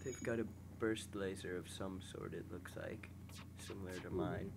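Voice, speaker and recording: A young man with a slightly nasal, congested voice, calmly commentating a space game and reading its text aloud while muttering to himself. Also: deep voice, moderate pace, vocal fry, noisy background. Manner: relaxed, mumbles quietly to himself while playing. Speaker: gameplay host.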